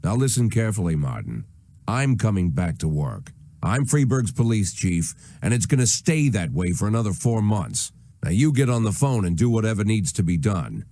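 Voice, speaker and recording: An actor playing an aging, hard-bitten police chief in a video game, commanding, sardonic and threatening. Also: male voice, fairly low pitch, sing-song, normal speed, warm tone, dry recording, clean studio sound. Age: older. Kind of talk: dramatic video game voice acting.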